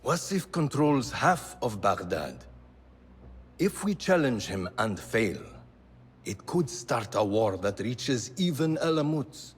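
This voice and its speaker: Husky, commanding secret-order leader. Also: male voice, medium pitch, very animated, full character voice. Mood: grave, commanding. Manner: measured, authoritative. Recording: long hall reverb.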